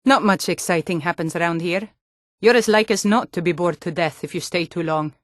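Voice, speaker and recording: A woman's voice: lowish voice, brisk, no room sound, clean studio sound, natural voice. An actress playing a tough, blunt Nordic warrior woman in a fantasy video game, speaking firmly with a Nordic accent. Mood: friendly. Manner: firm, blunt.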